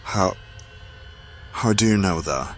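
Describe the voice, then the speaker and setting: A man's voice: low-pitched, expressive, slow pace, faint music behind. A man playing a wary, hot-tempered hireling adventurer in an audio drama, challenging and guarded. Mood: defiant.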